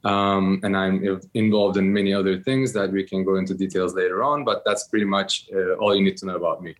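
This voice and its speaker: Low-voiced Turkish-raised man in his thirties. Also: flat delivery, brisk. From Turkey. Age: 30s. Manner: hesitant, many ums and restarts. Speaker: interview guest, entrepreneur. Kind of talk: casual interview answers.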